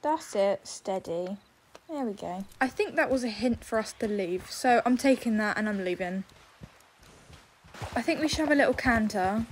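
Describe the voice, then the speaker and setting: A woman giving casual, excitable commentary as she role-plays in a video game, exclaiming often. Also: mid-range voice, normal speed, a little hiss or hum. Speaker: gaming video creator.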